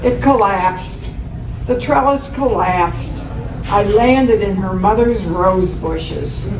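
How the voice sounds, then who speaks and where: A woman's voice: medium pitch, slow. A woman in an amateur stage reading, playing a wry, romantic older man telling of youthful mishaps. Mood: wry, nostalgic. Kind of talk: amateur readers theater. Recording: roomy, distant mic, clear noise.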